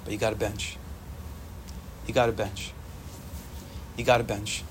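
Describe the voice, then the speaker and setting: A young man whose first language is Yiddish, giving an informal, animated Torah talk to students and repeating phrases for emphasis. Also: lowish voice, unhurried, background noise. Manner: animated, repetitive, sprinkles Hebrew and Yiddish terms.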